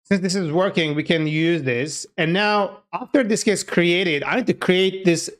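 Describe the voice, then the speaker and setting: A man who grew up speaking Turkish talks in accented, informal speech as a live streamer. His voice sits a little high and sounds clean and smooth. He takes his time, thinking aloud in a casual, chatty way while he programs. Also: measured pace. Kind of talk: casual livestream chat while coding.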